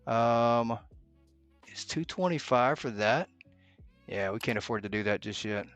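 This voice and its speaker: A man's voice: mid-range voice, measured pace, fairly bright tone, faint music behind. A man giving live gameplay commentary as a streamer, thinking aloud and muttering to himself as he plays. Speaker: game streamer.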